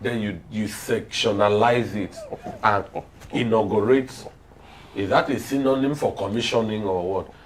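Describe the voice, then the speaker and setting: A man's voice: lowish voice, a little slow, slight background noise, poor audio. A man with a Nigerian accent speaking as a panel guest on politics, sardonic and full of pointed questions. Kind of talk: political discussion.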